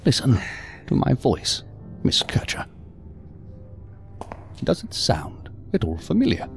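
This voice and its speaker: An actor playing a calm, evasive male psychiatrist in an audio drama, speaking in a measured, formal, unctuous manner. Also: medium pitch, gravelly, faint music behind, full character voice. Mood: coaxing, coy.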